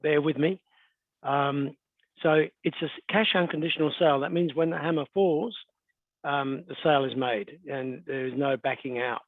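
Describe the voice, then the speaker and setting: A middle-aged Australian man, an auctioneer and estate agent, presenting in a relaxed, conversational way, a little croaky from a cold and clearing his throat often. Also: fairly high pitch, moderate pace, no echo. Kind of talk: webinar presentation. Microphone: video call. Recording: dry, thin phone-like call audio.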